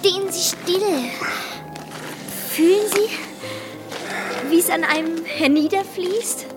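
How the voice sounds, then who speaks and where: An actress playing a whimsical, sensuous young baroness in a German radio play, effusive and dreamy. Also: female voice, very high voice, slow pace. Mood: rapturous. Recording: studio radio drama, music behind.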